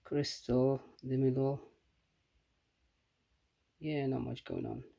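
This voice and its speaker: A man giving a casual, unscripted hands-on product review in a halting, matter-of-fact way. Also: mid-range voice, level tone, vocal fry, warm tone.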